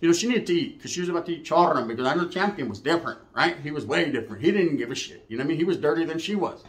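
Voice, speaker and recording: A middle-aged man with a Chicano English accent and a slightly high voice spins street stories. He talks casually and profanely, drops in bits of Spanish, and acts out each side of the conversations. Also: animated, racing. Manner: slangy, profane, Spanish phrases mixed in, checks in with "right?". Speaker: storyteller. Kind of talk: casual street storytelling, acting out dialogue.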